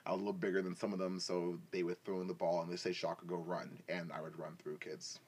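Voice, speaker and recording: Deep-voiced young man chatting playfully. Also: level tone, quick pace, darkish tone, breathy edge. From West and East Africa, then Canada. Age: 20s. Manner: playful, bantering, slangy. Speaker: football player telling their story as a podcast guest. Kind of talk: casual chat, personal storytelling.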